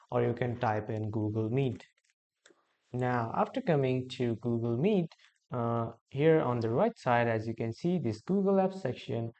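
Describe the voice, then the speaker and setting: A man with a medium-pitched voice narrates a how-to software tutorial. He speaks directly to viewers as their host, laying out instructions one step at a time.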